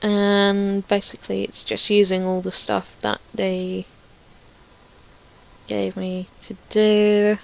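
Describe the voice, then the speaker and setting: A woman's voice: medium pitch, completely flat delivery, takes it slowly, vocal fry. A woman giving casual screen demo commentary as a creator showing her own project, rambling and thinking aloud with some self-deprecation.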